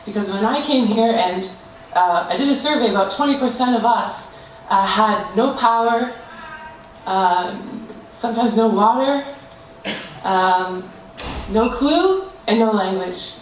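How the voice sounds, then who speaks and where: A woman's voice: medium pitch, unhurried. Woman presenting in an echoey room. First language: not Danish. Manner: hesitant, many fillers and restarts. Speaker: student presenter. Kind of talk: group design presentation. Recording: echoey room, mic far off, faint noise.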